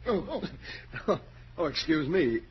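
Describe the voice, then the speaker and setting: A man's voice: a little high, sing-song, slow. An actor playing a flustered, frightened young man who stammers nervously in a 1940s radio drama. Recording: muffled 1940s radio recording with hiss.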